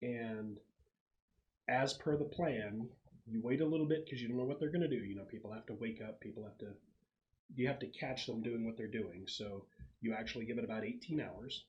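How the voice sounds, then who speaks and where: A man acting as game master, narrating a tabletop roleplaying game in a halting, conversational way. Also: medium pitch, dull audio.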